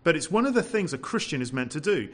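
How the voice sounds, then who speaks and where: Man, preacher, expressive and loud, rises and falls a lot. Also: a little high, fast talker. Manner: lively, animated preaching with rhetorical questions; says he has a loud voice. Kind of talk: sermon.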